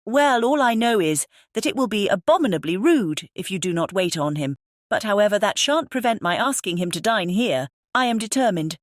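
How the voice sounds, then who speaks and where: A woman's voice: mid-range voice, lively intonation, normal speed, no room sound, studio quality, close to an everyday voice. A woman voicing a fussy, opinionated middle-aged mother in a dramatized audiobook, emphatic and huffy. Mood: huffy, determined. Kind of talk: dramatized audiobook character acting.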